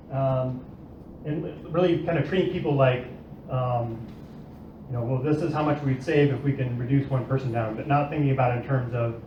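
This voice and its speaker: A man giving a conference talk in a plain, explanatory way with frequent fillers. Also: medium pitch. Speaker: conference presenter. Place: conference room. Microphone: distant room recording. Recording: distant, roomy, dull and noisy.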